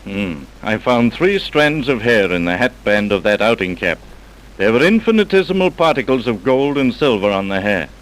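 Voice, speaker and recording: An actor playing a clever, unflappable police scientist in an old radio crime drama, explaining with measured, dry confidence. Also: male voice, fairly low pitch, very animated, rough recording. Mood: confident explaining. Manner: measured, wry, self-assured explaining. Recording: vintage radio broadcast recording.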